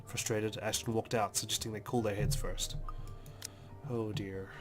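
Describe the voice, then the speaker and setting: Low-voiced man reading game narration. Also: flat delivery, normal speed. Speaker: let's player. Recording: music behind the voice.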